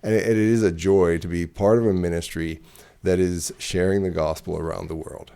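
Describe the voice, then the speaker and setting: A man's voice: sits low, fairly even intonation, moderate pace, creaky, dark tone, clean tone. A middle-aged man from Zimbabwe, a ministry director, telling his story in an interview with frequent hesitations and repeated words.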